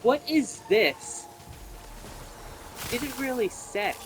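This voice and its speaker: A man's voice: very high-pitched, lively intonation, very slow, noisy background. A man giving casual gameplay commentary, thinking aloud and explaining each step to viewers. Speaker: video game player and commentator.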